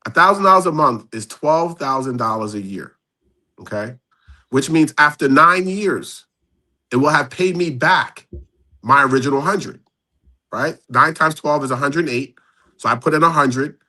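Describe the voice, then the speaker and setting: A man's voice: a little high, moderate pace, bright, forceful tone. A man in his fifties, a guest on a live stream, casually walking through numbers step by step. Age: 50s.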